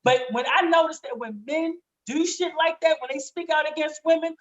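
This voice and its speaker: Raspy, high-pitched, outspoken woman. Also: fairly even intonation, normal speed, muffled recording. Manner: outspoken, emphatic, slangy with swearing. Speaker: podcast guest. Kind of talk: casual, candid podcast conversation.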